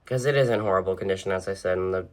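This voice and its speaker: A video host giving a casual, unscripted show-and-tell indoors in an informal, halting way. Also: deep voice, a little mellow. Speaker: video host and weather radio collector. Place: indoors, in a room with shelves of radios.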